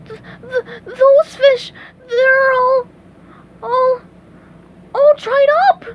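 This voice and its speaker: Cartoon sea sponge, young male acted by a woman, kindhearted, anxious, exclamatory, very high pitch, animated. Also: slow pace, dull audio, slight background noise, exaggerated voice. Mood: panicked. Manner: exclamatory, dramatic, stammering when upset.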